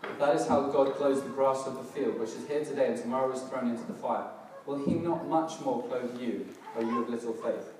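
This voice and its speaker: A middle-aged man gives a laid-back sermon, his voice a little hoarse and crackly. He talks in a self-deprecating way, full of pauses and restarts, at an everyday pitch. He is picked up from a distance in a very echoey hall with background noise. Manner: relaxed, self-deprecating, hesitant with fillers and restarts. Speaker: preacher. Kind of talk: casual church sermon. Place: church hall, in front of a congregation.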